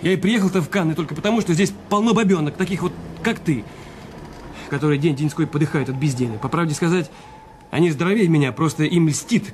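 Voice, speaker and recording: Actor playing a bitter, resentful husband. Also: male voice, fairly high pitch, a little mellow, slight background noise, natural voice. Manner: defensive, sardonic, brooding inner monologues. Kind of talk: radio drama acting.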